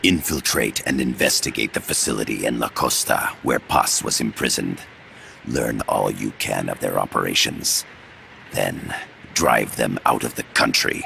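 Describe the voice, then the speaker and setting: A man playing a calm, scheming older Soviet agent in a game cutscene, speaking formally and theatrically in a measured way. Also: a little hiss or hum, full character voice, rough recording. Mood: measured, calculating. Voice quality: very deep, gravelly. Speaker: video game voice actor. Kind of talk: dramatic game cutscene dialogue. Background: music.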